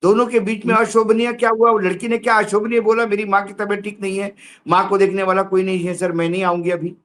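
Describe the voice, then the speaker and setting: A man's voice: high voice, little pitch movement, quick pace, a bit bright. A man giving opinionated news commentary in an indignant, emphatic way, driving points home with rhetorical questions. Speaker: political commentator on news show.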